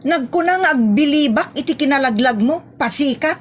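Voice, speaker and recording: A woman's voice: high voice, expressive, normal speed, slight background noise. A woman playing a scornful wife who nags and chides her bragging husband in a comedy audio drama.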